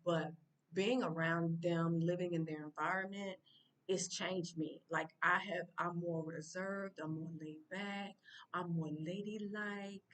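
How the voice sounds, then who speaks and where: A woman's voice: fairly low pitch, steady tone, measured pace. A woman from the United States with an American accent, talking casually and informally to her viewers in a rambling way, with lots of fillers and restarts. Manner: addresses viewers directly and informally. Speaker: vlogger. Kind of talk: casual talk to camera. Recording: voice a bit distant from the mic.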